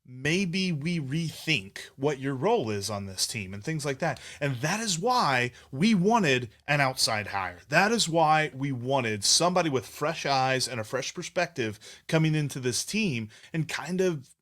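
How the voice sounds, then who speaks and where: A man of middle pitch hosts a casual sports podcast. He talks in an opinionated, conversational way, often restarting his sentences. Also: very animated, unhurried, hardly any pauses, a bit bright. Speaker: podcast host.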